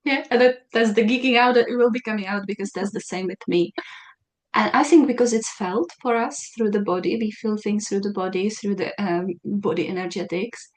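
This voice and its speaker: Animated woman host thinking aloud. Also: medium pitch, moderate pace. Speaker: podcast host. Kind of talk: casual conversation.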